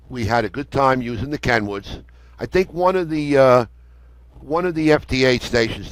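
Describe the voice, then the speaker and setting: A man's voice: medium pitch, a bit bright, no room sound, low-quality recording. An older American man telling a story conversationally in a matter-of-fact, detailed way as an interview guest. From United States. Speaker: interview guest, amateur radio operator.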